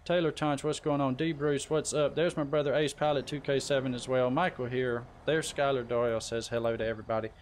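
A man with a mid-pitched voice hosts a gaming livestream. He talks informally and chummily, handing out shout-outs. Faint game ambience and vehicle noise sit behind him. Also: fairly even intonation, brisk, hardly any pauses. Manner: chummy, informal, addressing viewers by name. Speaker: live stream host.

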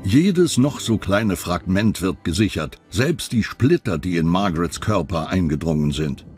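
A man narrating a scripted true crime documentary in a measured way that builds suspense. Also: low voice, animated, darkish tone, soft background music.

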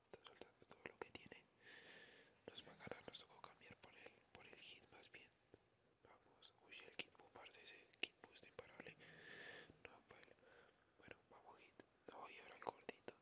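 A woman with a Colombian accent doing playful, slangy ASMR game commentary in a breathy whisper. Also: moderate pace. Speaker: ASMR gamer.

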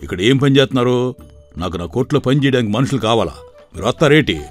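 A man playing a forward village shopkeeper with a rural Telugu dialect in an animated story. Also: medium pitch, fast talker, lightly acted. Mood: brisk, inquiring. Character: village shopkeeper merchant, folksy and forward, hiring workers. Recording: voice over background music.

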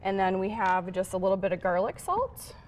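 Woman, thirties, mid-range pitch, home cook guest, casual demonstration, lapel mic, slight background noise. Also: very animated, a little fast. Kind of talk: casual cooking demonstration and chat. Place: studio kitchen set.

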